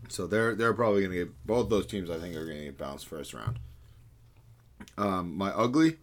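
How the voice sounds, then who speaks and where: A man co-hosting a sports podcast, giving laid-back, measured takes on the week's games. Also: low-pitched, animated. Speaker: podcast co-host. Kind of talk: casual sports chat.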